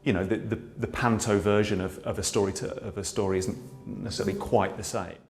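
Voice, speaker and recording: A man's voice: on the low side, steady tone, fast, vocal fry, faint music behind. Roomy-sounding British man, thoughtful. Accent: British English. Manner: thoughtful, many small restarts. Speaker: pantomime writer and director. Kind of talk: casual conversational interview. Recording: roomy echo.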